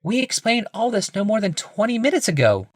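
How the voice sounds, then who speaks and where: A man's voice: big pitch swings, brisk, dry recording, studio quality. A man voicing a patient but exasperated wife in an audiobook, firm and pleading. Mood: impatient. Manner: high, pleading, strained patience.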